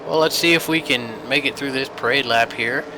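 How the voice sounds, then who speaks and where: A man's voice: mid-range voice, normal speed, sharp tone, a little hiss or hum, low-quality recording. A young man commentating a sim race, congested from a sinus infection, chatty and rambling with many fillers. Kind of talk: sim racing broadcast commentary.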